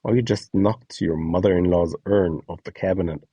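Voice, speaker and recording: A man's voice: sits low, steady tone, normal speed, dark tone, husky, no room sound, far from the mic, highs cut off. A man reading a scripted instructional guide, acting out short example lines as he goes. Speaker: instructor presenting a voice donation guide.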